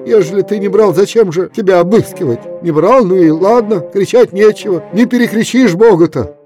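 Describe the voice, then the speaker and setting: A man's voice: medium pitch, animated, normal speed, dark tone, faint music behind, lightly acted. A man voicing a pious old wandering peasant who talks insistently and lectures in plain, moralizing terms. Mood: stern reproach.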